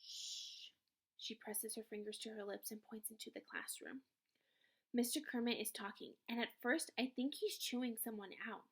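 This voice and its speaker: A woman narrating a children's novel aloud in an expressive storytelling style. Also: fairly high pitch, moderate pace, breathy edge.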